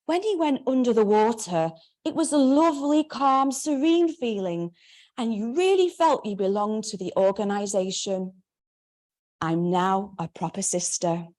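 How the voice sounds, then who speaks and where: A woman's voice: medium pitch, expressive, a little slow, darkish tone, lightly acted. A woman voicing her younger self as an earnest teenage girl reading out her diary, gushing and sincere.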